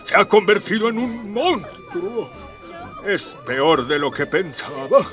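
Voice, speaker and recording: Give the radio play its pompous emperor: a highish, theatrical voice that stretches words slowly and grandly. He sounds like an aggrieved father and ruler, a little off the mic over soft music. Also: lively intonation, recorded from a distance. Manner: theatrical, drawn-out, grandiose speech. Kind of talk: scripted radio drama acting.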